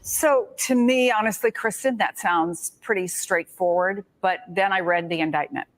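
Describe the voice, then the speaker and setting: A woman's voice: medium pitch, moderate pace, poor audio. A woman news anchor who questions legal guests in a conversational way. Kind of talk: TV news interview.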